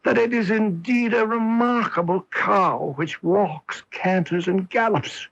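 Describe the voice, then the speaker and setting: A man playing a keen, confident, amused master detective in a theatrical radio mystery style, with a raspy voice. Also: high voice, unhurried, fairly bright tone, full character voice. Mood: triumphant. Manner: theatrical, emphatic deductive delivery. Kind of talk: 1980s radio mystery acting. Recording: dull 1980s AM radio broadcast.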